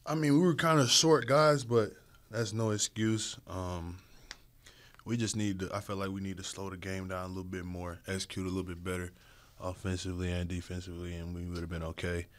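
Low, flat-voiced young man at a presser. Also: fairly quick, dry recording. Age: young adult. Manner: low-key, even, hesitant with many fillers. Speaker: basketball player. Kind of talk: postgame press conference answers. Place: press room with logo backdrop. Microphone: desk mic on stand.

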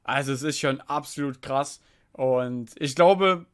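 A man's voice: mid-range voice, unhurried, clear, resonant tone. A man streaming from home on a headset, chatting loosely and greeting his viewers as he reacts to a video. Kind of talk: casual live-stream commentary.

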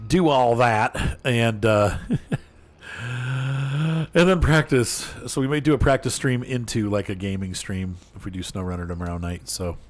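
Man, lowish voice, relaxed rambling gamer chat, chuckles between thoughts. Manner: rambling, easygoing, chatty with viewers, laughs often. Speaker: streamer playing a farming game. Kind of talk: casual gameplay chat.

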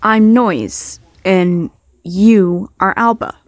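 A woman acts out a cool young man from a romantic comic dub, pitched down well below her own talk. He is calm and teasing, with a deadpan, unhurried delivery and very little room sound. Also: no echo, studio quality.

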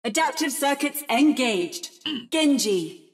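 High, slow-spoken female game robot. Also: expressive, soft background music. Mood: precise, robotic. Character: adaptive female robot mimicking other fighters' battle cries. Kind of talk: video game character voice lines.

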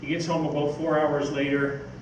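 An older man gives an informal talk, rambling a little as he goes. His voice is on the higher side. It is recorded from a distance in a very echoey hall, with clear background noise. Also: little pitch movement, normal speed. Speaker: speaker, magazine editor. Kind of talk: informal live talk from notes. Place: theatre stage, indoors. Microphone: stage mic on stand, picked up by distant camera. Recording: roomy, noisy stage recording from far off.

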